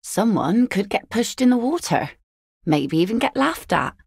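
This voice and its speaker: A woman's voice: medium pitch, big pitch swings, measured pace, vocal fry, breathy edge, dry recording, exaggerated voice. An actress voicing a weary, sardonic woman who mends nets and minds her kids, speaking in dry, teasing game voice lines. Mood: wry. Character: weary, sardonic adult female net mender with kids.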